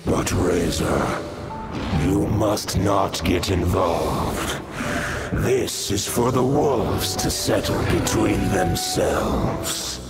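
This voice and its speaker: An actor voicing an ancient, proud giant spirit wolf in a video game, with a grand, archaic, commanding manner. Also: male voice, sits low, extremely slow, over loud music, exaggerated voice. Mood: grave, solemn. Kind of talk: solemn video game voice acting.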